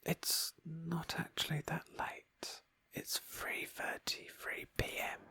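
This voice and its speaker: Woman in a bright, close whisper. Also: sits low, completely flat delivery, slow, no echo. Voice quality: breathy whisper. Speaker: host of a roleplay game playthrough. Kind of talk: whispered ASMR roleplay narration of a video game.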